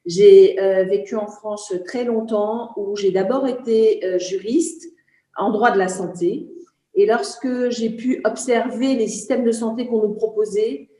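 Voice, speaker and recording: A woman from Senegal speaks earnestly, often pausing to search for her words. Her voice is warm and mellow. The room around her gives a roomy echo. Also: mid-range voice. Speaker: guest, trainer. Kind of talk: spoken testimony.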